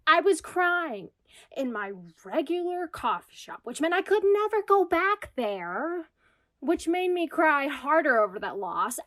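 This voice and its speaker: A woman's voice: high voice, fairly bright tone, exaggerated voice. A woman acting an anxious, self-deprecating single New Yorker in a comedic audio drama monologue about herself. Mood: agitated. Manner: self-deprecating, wry, confessional. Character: anxious single New York woman spiraling into existential crisis. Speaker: lead actor in radio comedy.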